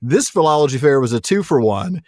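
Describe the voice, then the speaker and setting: Higher-voiced man, very animated podcast banter. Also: brisk, dry recording, clean studio sound. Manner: jokey, giggly. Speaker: podcast co-host. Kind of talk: casual chat and banter.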